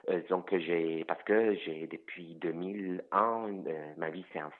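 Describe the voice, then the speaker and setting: Halting man on a tinny line. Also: sits low. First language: Italian. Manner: hesitant, frequent fillers and restarts. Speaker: author guest. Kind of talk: interview answers about his novel.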